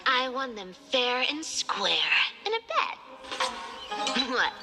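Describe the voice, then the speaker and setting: A woman voicing a cheeky, boastful young female thief in a video game, playful and teasing, over loud music. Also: high voice, very animated, takes it slowly, full character voice. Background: loud game soundtrack music.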